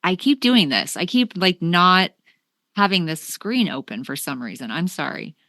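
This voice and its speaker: A woman's voice: fairly low pitch, lively intonation, moderate pace, bright, forceful tone, dry recording, clean studio sound. A woman hosting a casual conversational interview in an agreeable manner. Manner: agreeable, frequent short affirmations. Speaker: interview host.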